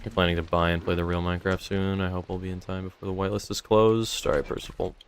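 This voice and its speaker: A man's voice: low voice, flat delivery, fast, a little hiss or hum. A young man chatting casually on a live stream, answering chat and rambling, his voice a little strained. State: voice feeling strained. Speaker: streamer running a game server.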